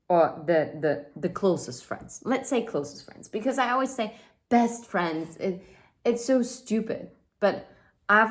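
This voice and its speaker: Woman, 30s, lowish voice, unhurried and chatty, tarot reader thinking out loud. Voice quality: says she has a loud voice. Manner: chatty, thinks aloud with fillers and asides. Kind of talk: casual tarot reading monologue.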